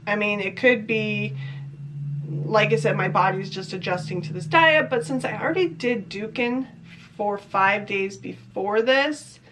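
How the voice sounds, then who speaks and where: A woman's voice: medium pitch, measured pace, noisy background. Congested woman chatting casually to camera. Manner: chatty, rambling, self-questioning. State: congested from a cold. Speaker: vlogger. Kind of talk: casual diet vlog to camera.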